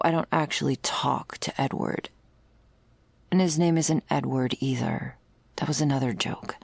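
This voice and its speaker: A woman playing a jaded, sardonic servant of vampires in an intimate ASMR roleplay, husky and deadpan, close to the microphone. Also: low voice, no room sound, exaggerated voice. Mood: deadpan, joking. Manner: dry, deadpan, sarcastic, foul-mouthed. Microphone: close, dry microphone.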